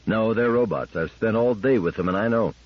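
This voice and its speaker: Man playing a controlled, low-voiced engineer leader. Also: brisk, highs cut off, close to an everyday voice. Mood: measured, reasoning. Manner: controlled, terse, reasoning. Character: level-headed, brown-mustached male team leader engineer.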